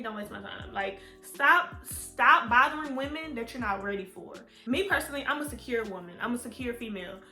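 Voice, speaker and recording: A woman's voice: a little high, pushed and bright. A woman, chatting candidly to her viewers in a storytime vlog. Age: 20s. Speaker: vlogger. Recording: echoey room with faint music.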